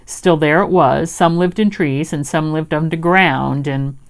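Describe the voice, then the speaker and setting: A woman reading a children's storybook aloud as an expressive storyteller. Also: low-pitched, unhurried.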